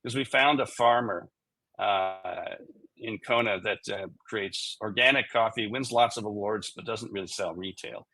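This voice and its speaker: A man's voice: fairly low pitch, a little slow, sharp tone, dry recording, low-quality recording. A man talking as a chief executive guest in a conversational, plain-spoken and emphatic way. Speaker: tech company CEO, interview guest. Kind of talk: conversational explanation and anecdote.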